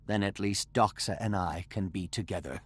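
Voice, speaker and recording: A man's voice: on the low side, normal speed, husky, no room sound. A man playing an anxious, devoted young gnome-like engineer in a video game, earnest and pleading. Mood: worried, pleading. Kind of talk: video game cutscene acting.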